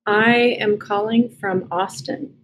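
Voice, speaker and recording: A woman's voice: mid-range voice, steady tone, slow pace, slight background noise, poor audio. A woman in her thirties with an American accent, talking casually and reflectively as an interview guest. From United States and Brazil. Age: 30s. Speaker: podcast guest, writer and editor.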